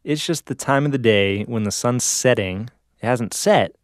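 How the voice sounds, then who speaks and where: A man's voice: medium pitch, normal speed, no echo, clean studio sound. A man co-hosting a casual podcast chat, agreeable and given to light banter. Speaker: podcast co-host; filmmaker.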